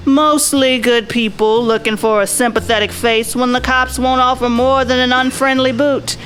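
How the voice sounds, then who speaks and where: A woman's voice: high-pitched, fairly even intonation, normal speed, fairly bright tone. A woman playing a world-weary, wry 1940s private detective, narrating in a folksy, slangy noir radio style. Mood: resolute, world-weary. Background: music.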